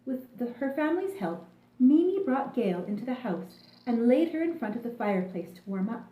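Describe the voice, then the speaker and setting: A woman narrating a children's story in an expressive, deliberate manner, recorded in an echoey room. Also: a little high.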